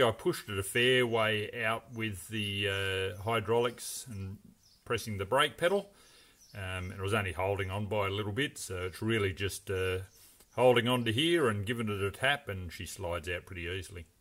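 A middle-aged man talks through a workshop job in a plain, practical way, pausing on fillers as he works. His fairly low voice has a bright, forceful edge. He speaks into a handheld phone in a home garage. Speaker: home mechanic restoring a car. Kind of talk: casual how-to narration.

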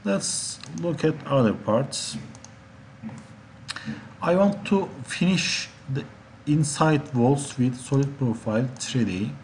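Guy teaching software step by step, slow n halting, some background noise and keyboard clicks. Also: mid-range voice. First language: Turkish. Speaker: instructor. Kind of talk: software tutorial narration.

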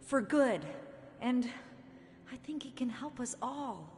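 An actress playing an earnest, hopeful young heroine in a video game cutscene, speaking sincerely. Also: female voice, sits high, animated, slow, darkish tone, slight background noise. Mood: urgent pleading. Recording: long reverb, faint music behind.

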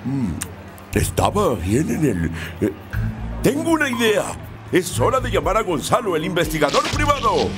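A bumbling, good-natured cartoon sleuth, with a highish voice that swoops wildly. He is eager and prone to hesitant muttering, with music playing behind him. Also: huge pitch swings, normal speed. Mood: pondering. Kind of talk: animated cartoon dub.

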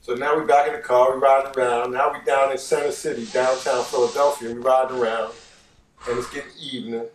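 Casual male storyteller, late fifties, New York accent, animated and repetitive for emphasis, recorded in a roomy living room at some distance. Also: medium pitch, a little fast, a little hiss or hum. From New York, United States. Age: late 50s. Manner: animated, repeats phrases for emphasis. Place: living room, seated on a couch.

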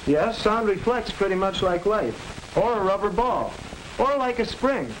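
A man's voice: high-pitched, expressive, measured pace, a bit bright. An actor playing a patient young American man who explains science didactically in a 1940s instructional film. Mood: instructive. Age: young adult. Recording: noisy 1940s film soundtrack.

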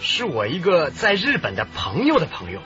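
Male, sly boastful hustler, radio drama, rather high voice, very animated, salesman patter. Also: normal speed, exaggerated voice. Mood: sly, wary. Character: wily, boastful middleman peddling smuggled Japanese goods. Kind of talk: Chinese radio drama acting. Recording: dull radio drama audio with background music.

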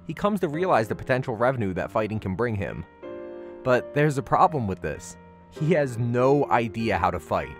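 A man hosting a video, reading a scripted review in an emphatic, conversational way. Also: medium pitch. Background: background music.

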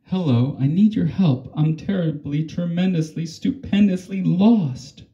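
A dramatic, huffy little female beast from a picture book, acted out by a man reading aloud. The voice is lifted in pitch and exclaims theatrically. The recording is a bit dull and homemade. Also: a little slow, mellow, roomy sound. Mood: dramatic, pleading.

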